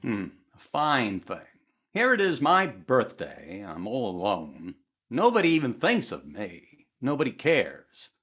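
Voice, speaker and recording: A man's voice: mid-range voice, wildly swooping pitch, measured pace, a bit bright, breathy edge, heavily acted. An actor playing a self-pitying middle-aged man who sulks alone on his birthday, in a radio comedy recreation. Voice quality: sulking, mournful complaining. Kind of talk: 1950s radio comedy acting.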